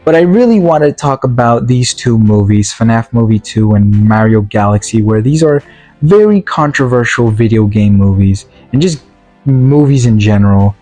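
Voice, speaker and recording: A man talks through a casual review in a mellow, soft-edged voice at an ordinary pitch, sounding worn out. He rambles, restarts and leans on fillers as he goes. Faint music plays behind him. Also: lively intonation, moderate pace. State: tired, worn-out voice. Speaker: video reviewer. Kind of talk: casual spoken movie review.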